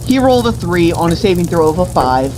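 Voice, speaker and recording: A woman's voice: fairly low pitch, brisk. A woman retelling a tabletop game as game master in a theatrical, mock-grandiose, crude comedic way. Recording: noisy room with steady background hiss.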